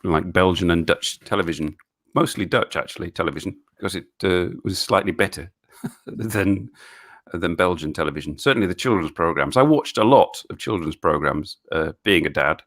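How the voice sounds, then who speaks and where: Low-voiced Englishman chatting haltingly. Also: fairly bright tone. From England. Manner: halting, stumbling restarts, chuckling often. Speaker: live stream host. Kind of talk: casual live stream chat answering viewer questions.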